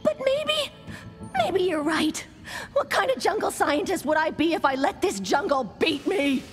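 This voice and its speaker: A frantic young woman scientist from a jungle cartoon, very high and wildly swooping in pitch. She is plucky but easily exasperated and delivers everything melodramatically over loud cartoon music. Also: big pitch swings, a little slow, full character voice. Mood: doubtful. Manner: melodramatic exclamations and muttering to herself. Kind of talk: animated cartoon comedy acting.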